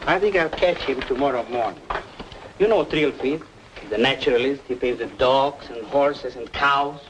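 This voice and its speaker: A man's voice: medium pitch, moderate pace, noisy background, close to an everyday voice. A man playing a helpful, talkative small-town art shop owner, musing aloud in an old-time radio drama. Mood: puzzled, helpful.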